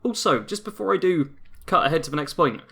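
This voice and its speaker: A man's voice: on the high side, animated, fast, vocal fry, sharp tone, slightly rough. A man giving casual, chatty commentary as he plays a game, saying he is getting a cold. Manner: chatty, self-narrating. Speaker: gaming YouTuber. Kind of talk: gameplay commentary.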